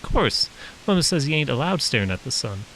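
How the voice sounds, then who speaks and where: A man's voice: medium pitch, big pitch swings, brisk, fairly bright tone, voice pushed up, rough recording. A man voicing a curious, cheeky little country boy in an audiobook, with a childlike, folksy, questioning way of talking. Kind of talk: audiobook character dialogue. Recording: hissy background noise.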